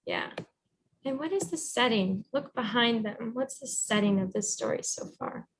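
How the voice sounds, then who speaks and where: A woman reading a storybook aloud as a teacher, encouraging and pausing to guide with questions. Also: a little high, animated, slight background noise, low-quality recording. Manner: encouraging, instructive.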